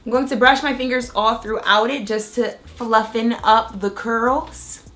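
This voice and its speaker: Bright, chatty woman with a cold-raspy voice. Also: fairly high pitch, measured pace, faint music behind. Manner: chatty, excited, step-by-step demonstrating. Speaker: beauty vlogger. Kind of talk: casual hair tutorial and review.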